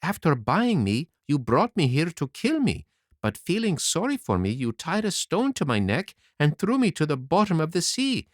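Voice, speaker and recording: A man voicing a mischievous wooden boy marionette, telling his tale in a lively, sing-song way. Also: on the high side, normal speed, no echo, studio quality. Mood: cheeky. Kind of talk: audiobook character reading.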